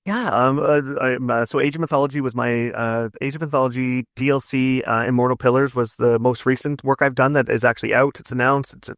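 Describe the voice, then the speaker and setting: A man talking casually as an interview guest, explaining things in a halting way and often doubling back on his words. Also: medium pitch, steady tone, a bit bright, no echo, studio quality. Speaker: guest, narrative designer and writer.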